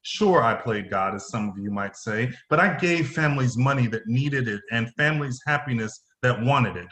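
A man's voice: mid-range voice, lively intonation, moderate pace, fairly bright tone, close to an everyday voice. A man playing a defiant, self-justifying company boss under interrogation in a play reading. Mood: bitter, justifying. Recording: roomy echo.

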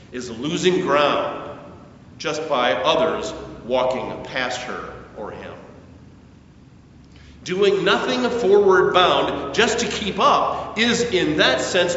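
A man preaching a sermon as a pastor, with measured, deliberate phrasing, emphatic rises and falling sentence endings. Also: fairly bright tone. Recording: very echoey church, distant mic, muffled, faint rumble.

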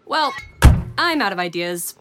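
A woman's voice: mid-range voice, sing-song, unhurried, heavy background noise. A woman playing a good-natured, hapless young engineer in a comic audio drama, with an American accent and casual delivery. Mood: hopeful, hapless.

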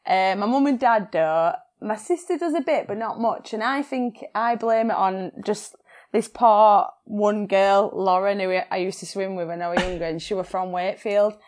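A woman's voice: medium pitch, moderate pace, darkish tone. A young woman with a Yorkshire accent, chatting casually as a podcast guest, laughing often and swearing freely. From England. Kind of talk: casual chat.

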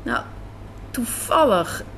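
A woman's voice: slow, fairly bright tone, rough recording. A woman answering as an interviewed writer and director, reflective and emphatic, repeating phrases for emphasis. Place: café interview. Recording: some background noise and ambience.

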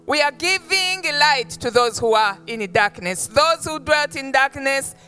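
Slow, bright-voiced elderly woman. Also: high voice, expressive, faint music behind. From Uganda. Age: 70s. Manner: slow, warm, earnest testimony with praise exclamations. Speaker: missionary guest speaker. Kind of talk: sermon greeting. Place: church stage.

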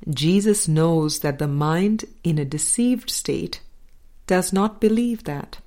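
Very slow, low-pitched woman reading. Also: dark tone. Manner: measured, deliberate, with pauses between short phrases. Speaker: audiobook reader. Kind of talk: scripted reading of a spiritual dialogue.

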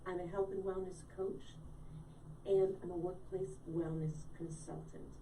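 A chatty woman in her late fifties, originally from Connecticut, tells her story with a mellow, soft tone. She sits back from the mic in an echoey room with a faint hiss behind her. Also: on the low side, level tone, a little slow, distant mic. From Connecticut, USA. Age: late 50s. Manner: chatty, storytelling, quizzes the host. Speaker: guest, wellness coach. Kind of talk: casual live-show conversation.

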